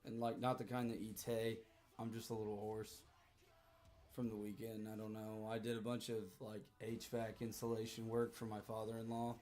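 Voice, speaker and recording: A hoarse man in his thirties livestreaming, rambling through stories and joking with chat. He talks loosely, swears freely, and wanders from one thought to the next. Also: fairly low pitch, little pitch movement, normal speed, darkish tone.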